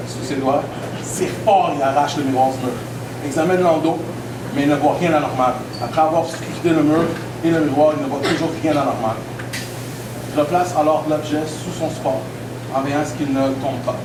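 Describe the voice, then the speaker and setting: Distant young man. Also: on the high side. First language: English. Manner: halting, unscripted. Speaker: author at a book launch. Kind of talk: unprepared speech, then reading an excerpt. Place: indoor book launch event room. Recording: roomy, distant, heavy room noise.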